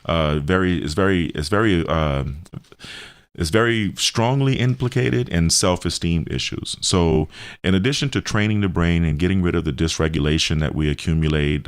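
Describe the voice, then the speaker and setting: Man, very low voice, dry close sound, halting explanatory radio talk, repeats phrases. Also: dry recording. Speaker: health center representative explaining therapies.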